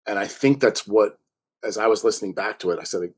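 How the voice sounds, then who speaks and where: A man's voice: on the low side, fairly quick, breathy edge. A man chatting casually and enthusiastically as an interview guest, repeating words for emphasis. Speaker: audio drama writer, podcast guest.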